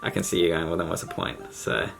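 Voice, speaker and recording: A man's voice: sits low, animated, quick pace, creaky, fairly bright tone, soft background music. A man chatting with a stranger on a video call, reflective and hesitant, with many fillers. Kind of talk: casual conversation.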